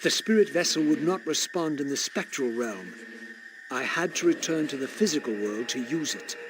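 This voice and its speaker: An actor playing a brooding undead wraith in a video game, narrating with formal, eloquent, theatrical diction and a solemn manner. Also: male voice, a little high, animated, moderate pace, over loud music, close to an everyday voice, poor audio. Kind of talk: dramatic scripted game narration.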